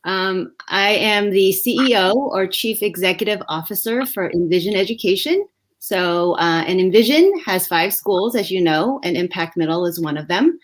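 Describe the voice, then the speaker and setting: A woman from Vietnam, an education executive, answering interview questions live over a video call, thoughtful and pausing often with fillers. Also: mid-range voice, lively intonation. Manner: reflective, many pauses and "um" fillers.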